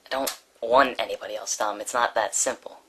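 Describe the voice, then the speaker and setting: A woman voicing a glum, sensible schoolboy puppet in a deadpan, matter-of-fact way in comic dialogue. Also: a bass voice, a little fast, slight background noise.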